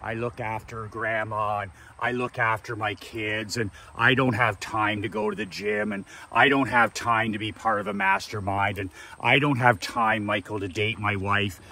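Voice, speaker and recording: A man in his fifties mimicking a whiny grown man who complains that he has no time for himself, voiced lower than his own. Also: animated, normal speed, creaky, hardly any pauses, sharp tone, full character voice. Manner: complaining, self-pitying.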